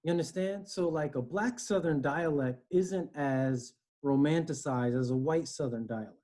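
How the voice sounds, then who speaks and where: A man's voice: a little high, normal speed, dark tone. A man in his forties with an East Coast American accent, from Connecticut, talking earnestly as an interview guest on a video call from home, often checking in with "you know" and "right?". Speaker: guest, HR director. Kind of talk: conversational interview. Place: home room, indoors.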